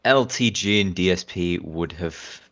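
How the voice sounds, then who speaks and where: Male guest, deep low voice, flat practical takes. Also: measured pace. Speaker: guest co-host. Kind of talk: casual stream chat.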